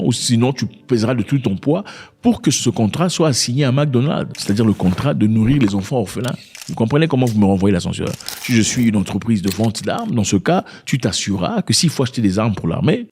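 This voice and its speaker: Male commentator, non-native speaker, chatty explanatory tone, middle pitch. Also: a little mellow, a little hiss or hum. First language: not French. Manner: repeats and restarts words, addresses viewers directly. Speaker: political commentator. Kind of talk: casual explanatory commentary to viewers.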